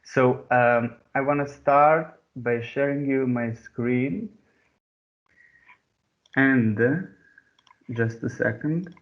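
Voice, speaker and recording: Hesitant young man on a muffled video call. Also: mid-range voice. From Serbia. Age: 20s. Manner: hesitant, many pauses and fillers. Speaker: artist giving a talk. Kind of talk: online talk over video call. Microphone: computer mic on a video call.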